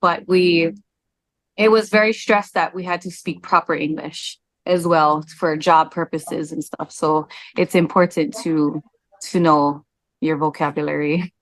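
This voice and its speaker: A woman in her forties talks openly in an interview, stopping often with an "um" as she thinks. Her voice sits a little low for a woman. The sound is dry and close, with no room around it. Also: no echo, rough recording. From Hawaii. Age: 40s. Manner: relaxed, candid, with frequent "um" pauses. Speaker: interview guest. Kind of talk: casual interview answers.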